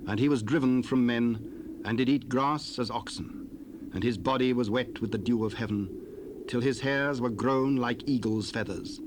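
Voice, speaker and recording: A man narrating a dramatized scripture reading in a measured, solemn way. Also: mid-range voice. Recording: cassette tape hiss.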